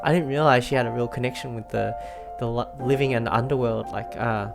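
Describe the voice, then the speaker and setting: Female game streamer: very deep voice, informal reactions, thinking aloud over faint music. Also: fairly even intonation, brisk, creaky. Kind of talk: casual gameplay commentary.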